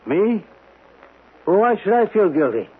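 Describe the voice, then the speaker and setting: Slow, pleading guilt-ridden male killer. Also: high voice, sing-song, slightly rough, exaggerated voice. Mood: nervous, evasive. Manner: halting, pleading, repetitive. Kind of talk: radio drama acting. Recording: noisy, tinny old radio recording.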